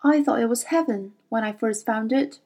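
A woman reading an audiobook, voicing a quiet, serious boy who talks tersely and awkwardly. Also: fairly high pitch, normal speed.

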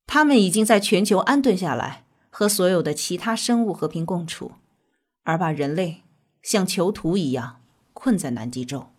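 A woman playing a calm, earnest scientist, explaining in a measured way in an audio drama. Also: on the low side, slightly rough. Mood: measured, serious. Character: calm, earnest female scientist with mystical faith.

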